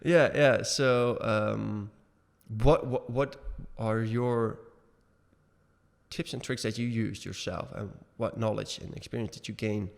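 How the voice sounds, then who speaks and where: A man in his thirties with a low, gravelly voice co-hosts a podcast over a webcam call. He talks in a halting way, full of fillers and restarts, and the room adds a long reverb tail. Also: fairly even intonation. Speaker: podcast co-host. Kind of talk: casual video podcast chat. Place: home room, indoors. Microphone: webcam video call.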